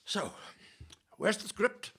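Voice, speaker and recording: A man's voice: slow pace, a bit bright. A man playing a pompous, haughty old-world playwright in a comic audio play, declamatory and condescending. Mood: grandly theatrical.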